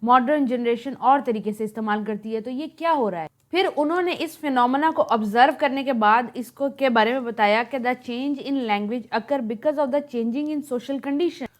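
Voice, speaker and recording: A woman's voice: on the high side, measured pace, hardly any pauses. A woman from Pakistan with a Pakistani Urdu accent, explaining linguistics notes as a lecturer, repeating points with examples and slipping in English terms.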